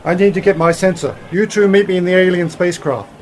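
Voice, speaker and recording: A man playing an assured, knowledgeable time-travelling uncle who explains things briskly in a science fiction radio play. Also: high-pitched, fairly even intonation, a little hiss or hum, natural voice. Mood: intent, brisk. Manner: explanatory, assured, a bit impatient. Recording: loud music under the dialogue.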